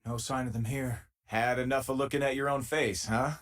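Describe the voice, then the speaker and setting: An actor playing an easygoing, loyal and wry space miner companion in a video game, with a husky, conversational delivery. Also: male voice, medium pitch, fairly even intonation, moderate pace, close to an everyday voice.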